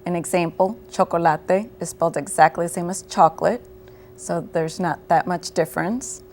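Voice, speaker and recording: A woman with a slightly low voice, explaining her program to an interviewer. She speaks clearly and works through concrete examples. A faint hiss runs under the recording. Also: steady tone, moderate pace, darkish tone. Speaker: program coordinator guest. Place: local TV studio.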